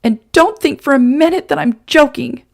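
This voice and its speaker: This is a fiery, stubborn young woman, as a reader puts her on. She talks high, indignant and sneering. Her voice swings widely and carries some gravel. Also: animated, normal speed, a little mellow. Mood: defiant.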